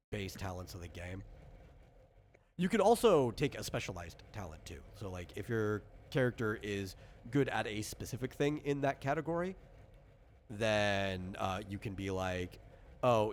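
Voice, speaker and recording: A man casually explaining tabletop game rules as the game master, rambling and interrupting himself as he goes. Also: on the low side. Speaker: game designer running character creation. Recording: clear background noise.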